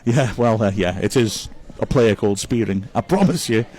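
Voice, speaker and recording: A man's voice: on the low side, expressive, moderate pace, mellow, a little hiss or hum. Male club commentator, conversational and upbeat, running through players and reading viewer messages. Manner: chatty, upbeat, praises players one by one.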